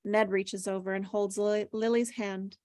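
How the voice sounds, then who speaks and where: A woman's voice: mid-range voice, flat delivery, measured pace, darkish tone, no echo. A woman reading stage directions aloud from a script at a table read, as scripted narration.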